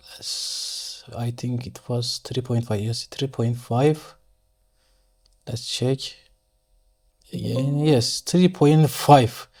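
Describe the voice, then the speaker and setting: A man with a Turkish accent, a native Turkish speaker, giving an unscripted step-by-step software tutorial, thinking aloud haltingly and correcting himself as he goes. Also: medium pitch. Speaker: engineering software tutorial instructor. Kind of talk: unscripted screen-share tutorial, step-by-step explanation.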